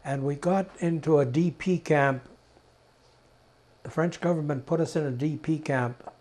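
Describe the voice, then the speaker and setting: An elderly man from Czechoslovakia giving personal testimony, telling his story deliberately in short, emphatic phrases with pauses. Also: fairly high pitch, darkish tone. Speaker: interviewee, Holocaust survivor giving testimony. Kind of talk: personal oral history testimony.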